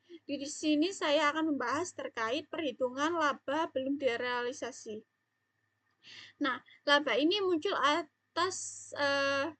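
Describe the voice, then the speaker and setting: A woman's voice: sits high, fairly bright tone, no echo. A young woman explaining material as a student presenter, somewhat hesitant. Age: young adult.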